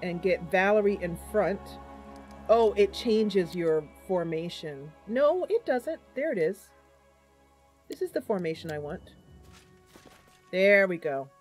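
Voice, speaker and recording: A woman's voice: mid-range voice, lively intonation, takes it slowly, pauses often, darkish tone, soft background music. A woman giving casual live commentary as she plays and narrates a roleplaying game. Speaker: game streamer.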